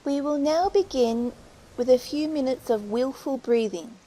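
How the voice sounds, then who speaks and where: A woman leading a guided meditation, giving soothing, unhurried instructions with pauses between phrases. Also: high-pitched, dark tone.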